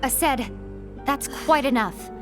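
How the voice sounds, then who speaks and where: Poised, higher-voiced fantasy woman leader. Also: takes it slowly. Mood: firm, composed. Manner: formal, poised, careful. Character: composed, diplomatic, loyal female fantasy order leader. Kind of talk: animated game cutscene acting. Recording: music behind the voice. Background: music.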